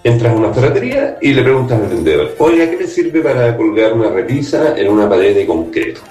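Chilean man giving an echoey sales pitch. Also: very animated, fairly quick, warm tone, distant mic, soft background music. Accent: Chilean Spanish. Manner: persuasive, talks straight to the listener. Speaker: startup CEO. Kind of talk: scripted pitch.

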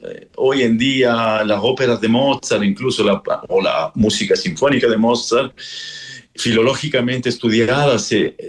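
A man from Chile, a classical singer explaining his craft in a radio interview, thoughtful and full of fillers. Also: on the low side.

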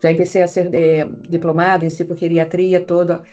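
A woman's voice: lowish voice, level tone, fairly quick, mellow. A middle-aged woman from Brazil with a Brazilian Portuguese accent, a psychologist answering interview questions in a reflective manner with many hesitant fillers. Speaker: psychologist, foundation founder.

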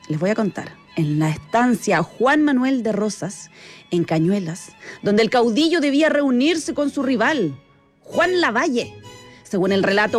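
A Chilean woman hosts live radio in a chatty, conversational style, with a fairly high voice. She addresses her listeners and colleague directly, and light music plays behind her. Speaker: radio host. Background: background music.

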